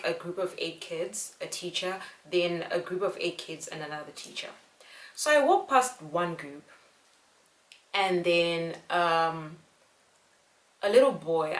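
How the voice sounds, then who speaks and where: A young woman from Zimbabwe, telling a personal story to camera in an earnest, unhurried way. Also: on the low side, fairly bright tone. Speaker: vlogger. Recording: echoey room.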